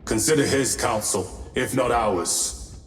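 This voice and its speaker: An actor playing a solemn, loyal space marine in a video game, speaking in a measured, formal, grave manner. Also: male voice, mid-range voice, close to an everyday voice. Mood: solemn, concerned. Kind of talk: dramatic video game cutscene acting. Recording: echoey hall, distant mic, faint music.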